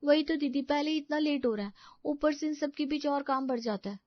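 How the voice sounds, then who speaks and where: Woman as high-pitched, whiny young daughter-in-law. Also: fairly quick, no echo, lo-fi sound, lightly acted. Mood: aggrieved. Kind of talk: dramatised family story.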